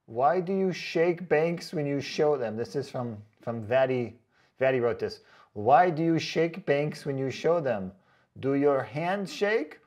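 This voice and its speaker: A man hosting a casual vlog, reading out comments in a chatty, joking way with sarcastic asides and drawn-out comic emphasis. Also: fairly high pitch, darkish tone. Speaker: online boot reviewer and video host.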